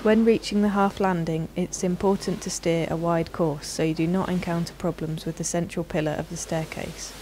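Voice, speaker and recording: Mellow, low-pitched woman narrating instructions. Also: steady tone, normal speed, hardly any pauses, a little hiss or hum. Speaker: narrator of a product training video.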